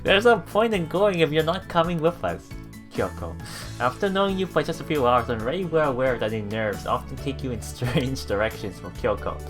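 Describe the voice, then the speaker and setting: Female game streamer, extremely low pitch, lively delivery, reads story text aloud with casual playful commentary. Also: normal speed, over music. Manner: playful, expressive. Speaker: let's play streamer.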